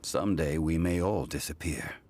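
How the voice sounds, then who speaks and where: A man voicing a calm, dignified ancient god in a video game, speaking in a measured, formal, grave way. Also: very deep voice, background noise. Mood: solemn, reflective. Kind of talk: scripted video game dialogue. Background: music.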